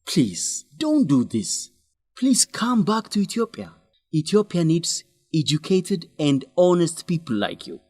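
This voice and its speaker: A man's voice: fairly high pitch, big pitch swings, a little slow, a little mellow, no room sound. An actor playing an earnest, pleading man in a radio drama. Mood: pleading, emotional.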